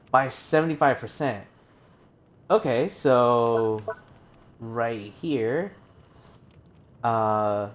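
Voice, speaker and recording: Male streamer, slightly high voice, hesitant casual commentary over faint background music. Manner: hesitant, many fillers and restarts. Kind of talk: casual game commentary reading menus aloud.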